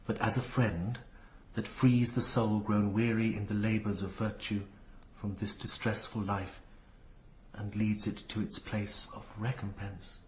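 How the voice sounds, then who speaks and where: An actor playing an earnest, brooding young nobleman in a radio drama, solemn and halting in manner. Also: lowish voice, little pitch movement, a little hiss or hum, natural voice, low-quality recording. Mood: solemn reading.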